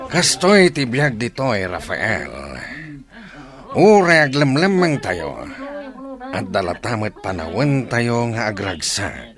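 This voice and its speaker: An actor playing a self-satisfied leader of merrymakers in hiding, in a radio drama. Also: male voice, mid-range voice, very animated, slow, over music. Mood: smug, relaxed.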